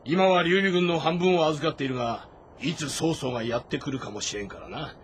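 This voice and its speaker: A man playing a proud, self-assured warrior general with blunt, rough speech in a dramatic audio-novel game. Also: fairly high pitch, fairly quick, slight background noise, close to an everyday voice. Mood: proud, resolute. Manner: blunt, confident, speaking in rough masculine speech. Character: bold, proud warrior general, gruff and sure of himself.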